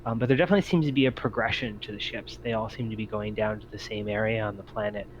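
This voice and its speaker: A man's voice: fairly low pitch, steady tone, fast talker. A man working as a game master, improvising narration for a tabletop game and piecing descriptions together hesitantly. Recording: muffled, faintly noisy home mic.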